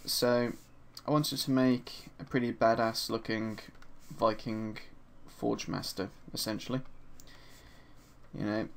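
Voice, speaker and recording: A man with a slightly hoarse voice from a sore throat narrates a screen-recorded 3D sculpting tutorial in a relaxed, chatty way, with frequent ums and uhs. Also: lowish voice, takes it slowly, a little hiss or hum. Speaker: 3D art instructor. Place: at his desk, voice-over for a screen recording.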